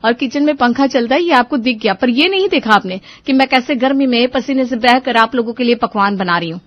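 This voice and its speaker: A woman's voice: a little high, lively intonation, a little fast, no room sound, dull audio, close to an everyday voice. A woman voicing a harried, outspoken young housewife in a cartoon family story. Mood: indignant. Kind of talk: cartoon family drama acting.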